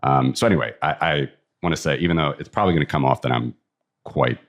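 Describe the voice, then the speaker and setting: A man's voice: rumbling low, quick pace. A man with an American accent from the Midwest, a guest talking frankly about his strong opinions. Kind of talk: casual interview talk.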